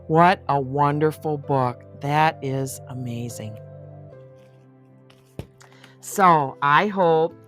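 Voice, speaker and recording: An older woman with a low voice hosts a storybook read-aloud. She takes her time and speaks directly to young listeners, adding effusive, chatty asides. Faint music plays behind her. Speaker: host reading picture books aloud.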